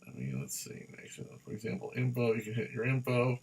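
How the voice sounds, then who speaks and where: A man talks through a gadget review in a casual, hands-on style. His voice sits at a medium pitch. He rambles a little, stopping and starting and circling back over the same points. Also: sing-song, creaky, darkish tone, a little hiss or hum. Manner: halting, rambling, repeats himself. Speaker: product reviewer.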